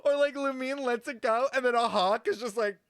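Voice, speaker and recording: A young woman giving casual game commentary as a streamer, slangy, sweary and sarcastic, with animated exclamations. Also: a little high, brisk.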